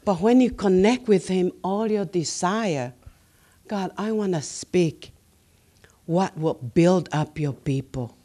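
Woman, co-pastor, earnest exhortation, slow pace, low pitch, warm tone. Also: animated. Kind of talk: teaching sermon.

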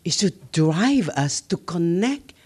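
Slow earnest woman co-preaching. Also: fairly low pitch, sing-song, a little mellow, husky. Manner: earnest, affirming, exhorting. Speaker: co-pastor, pastor's wife. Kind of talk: teaching sermon.

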